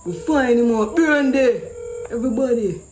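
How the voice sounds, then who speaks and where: Very high-pitched Jamaican man, exclamatory prankster. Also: normal speed, a little mellow, a little hiss or hum. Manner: exclamatory, repeats himself, swears. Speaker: prank call show host. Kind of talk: animated prank commentary in Patois.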